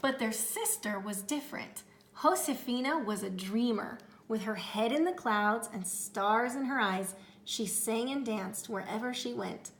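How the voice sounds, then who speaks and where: A woman with a fairly high voice narrates a children's story. Her delivery is theatrical and expressive, lingering on words for effect. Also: a little hiss or hum. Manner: theatrical, deliberate phrasing with drawn-out words. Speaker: storybook reader.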